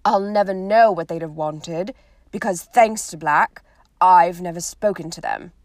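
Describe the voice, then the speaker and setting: A woman's voice: mid-range voice, sing-song, normal speed, fairly bright tone, dry recording, studio quality. Woman voicing a curt determined teenage boy. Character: angry grieving teenage boy.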